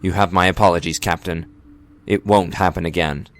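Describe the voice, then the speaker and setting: A man's voice: deep voice, fairly even intonation, moderate pace, lightly acted. A man playing a dutiful, earnest young starship ensign in a science fiction audio drama. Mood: apologetic.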